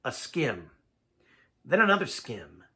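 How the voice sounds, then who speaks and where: A man's voice: mid-range voice, sing-song, slow pace, pushed and bright. A man reading a first-person tale aloud in a dramatic, folksy storytelling manner. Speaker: amateur audiobook reader.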